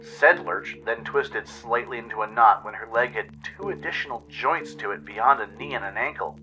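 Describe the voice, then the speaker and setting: A man narrates a scripted first-person tale as a radio drama. His medium-pitched voice is bright and forceful, with faint music behind it. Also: normal speed, muffled recording.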